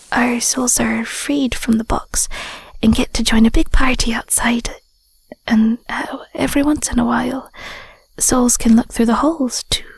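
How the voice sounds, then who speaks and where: A woman playing a tender, sentimental young Scottish werewolf girlfriend in an intimate audio roleplay, speaking softly and breathily with a gentle, affectionate manner. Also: fairly high pitch, dry recording, exaggerated voice. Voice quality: soft, breathy, whispery. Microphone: close mic, dry.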